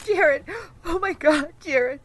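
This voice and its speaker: A woman playing a terrified, distraught partner in an audio drama, crying and halting as she repeats broken phrases. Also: high voice, fairly bright tone, slight background noise. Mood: terrified, sobbing.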